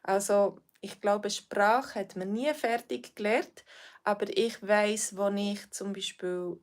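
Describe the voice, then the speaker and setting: Swiss lady w swiss accent teaching on a livestream, middle pitch, lots of ums, calls viewers by name. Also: fairly even intonation, slow pace. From Switzerland. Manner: friendly, hesitant with frequent fillers, addresses viewers by name. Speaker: language teacher.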